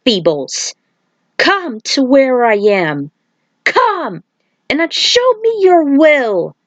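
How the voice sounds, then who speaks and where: A woman's voice: mid-range voice, no echo, heavily acted. A woman voicing an evil male emperor, contemptuous and haughty, drawing out taunts in a story reading. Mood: challenging.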